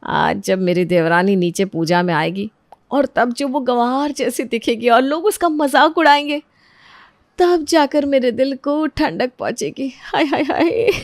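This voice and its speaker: A woman's voice: high voice, expressive, measured pace, dry recording, voice pushed up. A woman voicing a scheming, jealous young sister-in-law in a melodramatic story, two-faced and falsely sweet. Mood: gloating.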